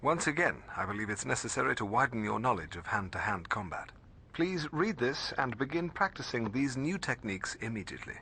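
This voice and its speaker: Stuffy british librarian mentor from a video game, formal, expressive pitch, bit of fry. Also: male voice, a little fast, a little hiss or hum. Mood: instructive. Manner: formal, precise, hesitant when puzzled. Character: bookish, fussy male librarian mentor, middle-aged.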